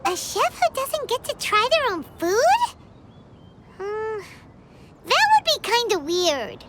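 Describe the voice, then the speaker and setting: Squeaky tiny companion girl. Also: big pitch swings, takes it slowly, very noisy, exaggerated voice. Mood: chatty. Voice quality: high, squeaky, bouncy. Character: tiny floating girl companion, chatty, cheeky. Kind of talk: game voice acting. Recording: game audio with loud music.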